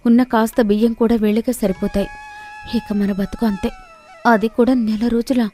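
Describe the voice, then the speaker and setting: Casting: anxious rural housewife read by a woman, plaintive, fairly high voice, storybook tale with soft music. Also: fairly even intonation, normal speed, close to an everyday voice. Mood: dismayed. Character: worried village farmer's wife, grumbling but dutiful.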